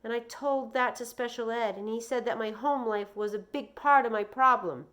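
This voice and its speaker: A wound-up young boy played by a woman reading a storybook aloud, pitched well up and pushed loud. He blurts out his grievances insistently, one tumbling into the next. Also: normal speed, natural voice. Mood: upset. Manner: shouting, insistent, breathless run-on complaints.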